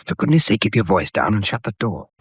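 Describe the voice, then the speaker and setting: A man playing a secretive, bossy English gentleman on the run in a period audio drama, clipped and insistent. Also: lowish voice, sing-song, quick pace, lo-fi sound, a little hiss or hum. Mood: urgent, commanding.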